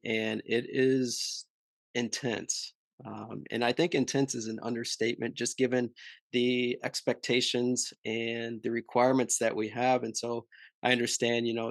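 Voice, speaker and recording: A young man hosting a podcast interview, talking in a relaxed, friendly way with a few fillers. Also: mid-range voice, creaky, no room sound. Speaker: podcast host. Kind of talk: casual interview.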